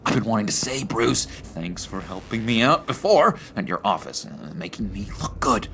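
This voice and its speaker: A man playing a needy, unhinged misfit in a video game, gushing and halting as he pleads for friendship. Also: lowish voice, very animated, gravelly, a little hiss or hum, heavily acted. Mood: giddy, gushing. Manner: gushing, halting, giggly, swinging between chirpy and hushed.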